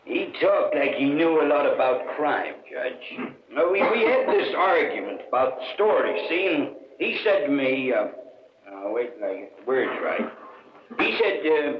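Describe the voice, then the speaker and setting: An actor playing a gossipy, indignant small-town storekeeper in an old radio drama, folksy, emphatic and stumbling over words. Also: male voice, a little high, slight background noise. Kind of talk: 1940s-50s radio drama acting. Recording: muffled old radio broadcast.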